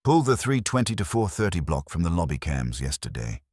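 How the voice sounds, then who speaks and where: A man's voice: sits low, very animated, brisk, a little mellow, breathy edge, no echo, clean studio sound, full character voice. A man playing a composed, guarded woman who speaks in a controlled, direct way in an audiobook.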